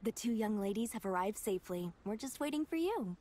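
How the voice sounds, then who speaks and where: A woman's voice: medium pitch, animated, fairly quick, dark tone, a little hiss or hum, natural voice. An actress playing a respectful, efficient female aide in a video game, speaking formally. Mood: admiring. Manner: deferential, formal. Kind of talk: video game character acting.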